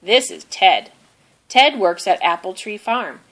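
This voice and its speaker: Slow, expressive woman reading a children's story. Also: medium pitch. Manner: expressive, deliberate. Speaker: storybook reader. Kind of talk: children's read-aloud narration.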